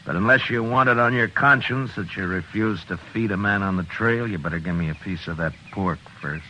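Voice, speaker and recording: An American actor playing a stern, terse old-west marshal in a 1950s radio western. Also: male voice, lowish voice, a little fast, bright, forceful tone, a little hiss or hum. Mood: cool, restrained. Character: tough, steely old-west US marshal, grim and vengeful. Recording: muffled old radio broadcast with music.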